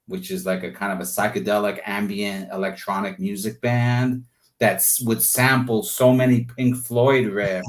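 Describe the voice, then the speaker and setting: A man's voice: on the low side, level tone, moderate pace. A man talking casually as an interview guest, thinking aloud in long, rambling asides.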